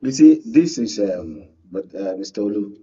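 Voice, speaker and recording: A man's voice: on the low side, expressive, moderate pace, dark tone, husky, distant mic, lo-fi sound. A Nigerian man in a political panel discussion, speaking insistently and repeating phrases to hold the floor. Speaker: panel guest, media analyst. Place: radio and TV studio.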